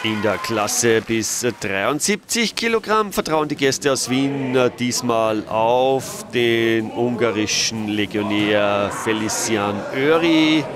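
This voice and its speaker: A man's voice: medium pitch, expressive, takes it slowly, hardly any pauses. A man giving matter-of-fact commentary as a voice-over, lifting his voice at the key moments. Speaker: sports commentator. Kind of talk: recorded match commentary voice-over. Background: cheering crowd in a sports hall.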